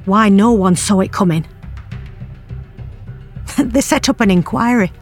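A woman's voice: mid-range voice, unhurried, soft background music, natural voice. An actress playing a bereaved middle-aged mother in an audio drama, confiding her story in a plain-spoken, wry and bitter way. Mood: weary, bitter. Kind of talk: audio drama narration, intimate monologue.

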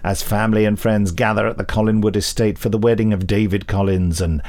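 A man's voice: lowish voice, steady tone, a little fast, a little mellow. A man co-hosting a podcast, reading out news and chatting easily with his co-host. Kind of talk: casual chat and reading announcements. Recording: faint music behind.

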